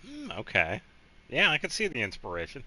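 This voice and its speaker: A man's voice: medium pitch, huge pitch swings, brisk, pushed and bright, slight background noise. A man giving casual, offhand playthrough commentary, with wry asides. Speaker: gaming streamer.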